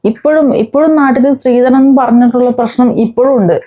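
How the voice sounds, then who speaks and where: A woman vlogger, giving angry, scolding commentary with many pauses and restarts. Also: a little high, lo-fi sound. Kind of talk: angry commentary on a news case.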